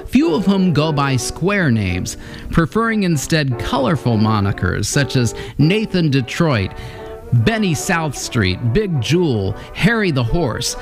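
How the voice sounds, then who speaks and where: Higher-voiced male narrator over music. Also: big pitch swings, takes it slowly. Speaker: host introducing vintage radio episodes. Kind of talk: scripted narration.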